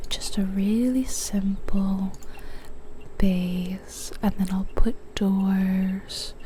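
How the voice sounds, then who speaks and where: A young woman whispering softly as she plays a building game, a little sniffly from a cold, musing to herself. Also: medium pitch, slight background noise. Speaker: ASMR gaming streamer. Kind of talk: whispered ASMR gameplay commentary.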